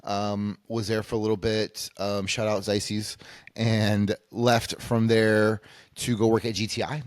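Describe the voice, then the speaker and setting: A man from Peru speaking as an interview guest, casually recounting his career in a halting way, often circling back to rephrase. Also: lowish voice, level tone, no room sound. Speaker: interview guest, cannabis industry consultant.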